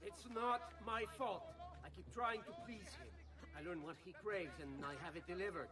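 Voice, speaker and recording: A man's voice: sits high, moderate pace, far from the mic, close to an everyday voice. A man playing an insecure, defensive government official with an Italian accent in a video game cutscene, pleading and self-important. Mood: indignant whining. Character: insecure, whining, defensive male Venetian government official and conspirator.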